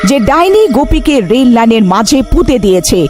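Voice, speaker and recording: A woman's voice: high voice, very animated, a little fast, over music. A woman narrating a scripted story in a dramatic storytelling style.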